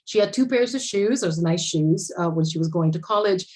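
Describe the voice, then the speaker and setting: A woman's voice: lowish voice, moderate pace, a little mellow. A woman from Puerto Rico, whose first language is Spanish, gives a personal presentation over a video call, with frequent restarts as she tells her story. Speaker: conference presenter. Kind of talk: remote presentation.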